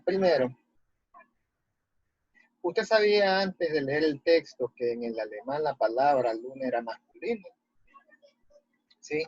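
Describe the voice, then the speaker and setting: A man with a Latin American Spanish accent and a husky voice, giving a didactic literature lesson as a teacher and reading texts aloud. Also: fairly high pitch, a little mellow, no echo. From Ecuador. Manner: didactic, checking with rhetorical questions. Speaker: high school language and literature teacher. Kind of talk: online lesson, explaining and reading a text aloud. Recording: muffled lo-fi audio, very dry.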